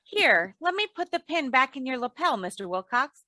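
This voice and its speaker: A woman acting a sensible wife who drily teases and gently scolds in an old-time radio comedy. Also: high-pitched, very animated, moderate pace, no room sound, studio quality, exaggerated voice. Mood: friendly, amused.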